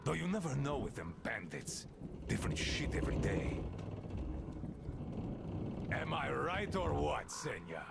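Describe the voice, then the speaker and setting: An actor playing a domineering, sardonic gang leader in a video game, gruff and pompous, rambling with sneering contempt. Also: male voice, mid-range voice, very animated, unhurried, long pauses, heavy background noise. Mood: suspicious, mocking.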